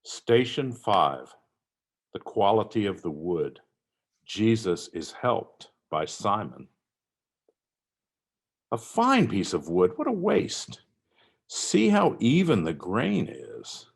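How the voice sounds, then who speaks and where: Gravelly man with wildly swinging pitch. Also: big pitch swings, slow pace, pauses often. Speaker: reader of a church meditation. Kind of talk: dramatic first-person monologue reading.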